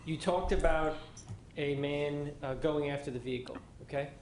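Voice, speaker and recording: A man's voice: on the high side, steady tone, moderate pace, roomy sound, background noise. A man questioning a witness in court as prosecutor, methodical, with short probing questions.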